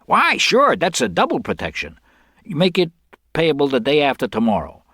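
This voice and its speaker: A man's voice: fairly high pitch, wildly swooping pitch, sharp tone, no room sound, clean studio sound, voice pushed up, heavily acted. A man voicing a brash, slick con man who talks in slangy, pushy bursts in a storybook reading. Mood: slick, persuasive. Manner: slangy, fast-talking, pushy.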